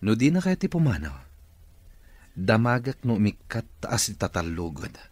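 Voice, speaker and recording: An actor with an Ilocano accent playing a wealthy, authoritative boss in a radio drama. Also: male voice, lowish voice, very animated, normal speed, darkish tone, no echo. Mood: stern, resolute. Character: wealthy recovering boss, stern and resolute.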